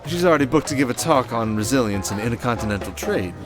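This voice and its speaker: Slick male conman, played by an actor, medium pitch, suave, boastful, flirtatious gangster talk, audio roleplay with music underneath. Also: lively intonation, quick pace, lightly acted. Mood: grand, suave. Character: smooth, showy male conman posing as high-rolling heir. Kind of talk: scripted audio roleplay acting.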